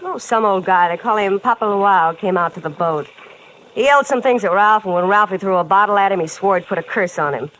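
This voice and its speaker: A woman's voice: medium pitch, fairly quick, fairly bright tone, lightly acted, low-quality recording. A woman playing a brash, bitter, tough-talking wife in old-time radio drama style, slangy and challenging. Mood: guarded. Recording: hissy vintage radio recording.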